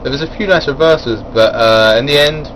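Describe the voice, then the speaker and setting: A man's voice: medium pitch, brisk, a bit bright, a little hiss or hum, poor audio. A man giving a casual, rambling spoken review of a wrestling event, full of fillers and chuckling at his own asides.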